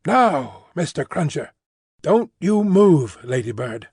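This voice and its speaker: A man's voice: a little high, very animated, takes it slowly, darkish tone, slightly rough, no echo, clean studio sound, exaggerated voice. A man voicing a fussy, fiercely loyal Englishwoman housekeeper who talks emphatically and primly. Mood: emphatic. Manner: emphatic, bustling, prim.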